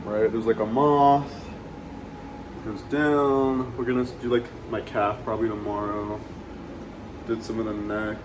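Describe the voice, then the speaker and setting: A man's voice: lowish voice, measured pace, long pauses. A man chatting casually while he eats, rambling and swearing freely, with lots of fillers. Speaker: vlogger eating on camera. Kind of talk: casual chat while eating. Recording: steady air conditioning hum.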